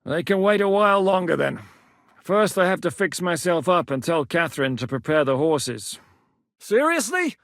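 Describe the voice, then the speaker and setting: An actor playing a gruff, blunt medieval war captain who shouts battle orders. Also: male voice, high voice, big pitch swings, normal speed, no room sound, studio quality, heavily acted. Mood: dry, blunt. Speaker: military commander. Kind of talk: game voice lines.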